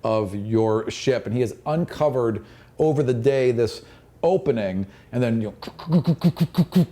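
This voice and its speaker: Man, game master, mellow dark tone, mid pitch, conversational improvised storytelling, descriptive, repetitive explaining. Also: expressive, slow pace. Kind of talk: narrating a tabletop fantasy game, improvised.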